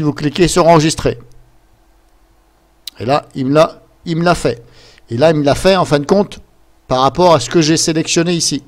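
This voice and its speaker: A man gives a casual, improvised screen-share tutorial, hesitant and rambling as he goes step by step into his computer microphone. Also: fairly high pitch. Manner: rambling, hesitant, step-by-step, fond of filler words. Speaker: software tutorial presenter.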